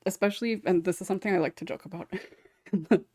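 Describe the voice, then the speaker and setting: A woman's voice: on the low side, quick pace, mellow, dry recording, studio quality. A woman hosting a casual panel discussion, talking in a chatty, rambling way with frequent small chuckles. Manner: rambling, chatty, often chuckling as she talks. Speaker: podcast host and script writer.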